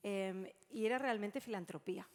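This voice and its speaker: A woman's voice: medium pitch, normal speed, a little mellow. Middle-aged Spanish woman in a reverberant hall. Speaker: panelist, sports sustainability consultant. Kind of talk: conversational panel talk. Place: large conference room. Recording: echoey hall with long reverb.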